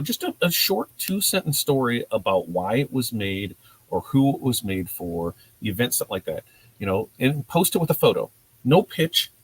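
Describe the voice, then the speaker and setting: A man hosting a casual livestream lesson, speaking informally and haltingly, often checking in with his listeners. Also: medium pitch, husky, low-quality recording. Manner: informal, halting, conversational, often checks in with "you know" and "right". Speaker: host and presenter of a livestream marketing talk for makers. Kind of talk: casual livestream teaching talk to viewers in a chat.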